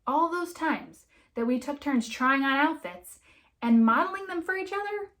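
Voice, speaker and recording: A woman's voice: sits high, lively intonation, normal speed, a bit bright, voice pushed up. A woman voicing a jilted young woman in an audiobook, indignant and incredulous, with an emphatic, exasperated way of talking. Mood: exasperated ranting. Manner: exasperated, emphatic, stammering disbelief.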